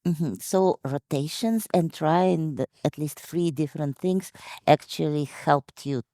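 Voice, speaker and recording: Upbeat female host on a video call; gravelly, dark tone. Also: sits low, a little slow, dry recording, studio quality. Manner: upbeat, reads out audience questions. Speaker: host, moderator. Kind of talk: hosting a virtual open day.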